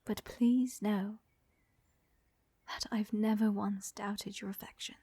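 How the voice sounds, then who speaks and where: A woman acting an elegant, flirtatious and tender vampire countess in a roleplay ASMR, with soft, breathy, genteel delivery that often drops to a whisper. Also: mid-range voice, level tone, slow, no echo. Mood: earnest, apologetic.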